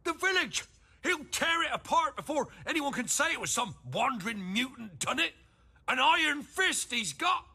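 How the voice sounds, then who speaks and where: A man playing an anxious village innkeeper in a fantasy video game, with a rough edge to his voice. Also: extremely high pitch, very animated, moderate pace, bright, forceful tone, full character voice. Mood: alarmed, angry. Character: anxious rustic male innkeeper in a war-torn village.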